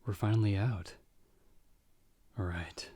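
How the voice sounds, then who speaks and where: A man playing a calm, world-weary half-vampire hunter in an audio roleplay, speaking in a measured, understated way as a protective mentor to a companion. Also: on the low side, breathy edge. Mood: calm, businesslike. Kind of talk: ASMR audio roleplay acting.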